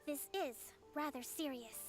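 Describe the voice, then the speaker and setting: Very high-voiced, polite young female strategist. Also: unhurried. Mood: calm, formal. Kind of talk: anime-style video game voice acting. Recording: music behind the voice.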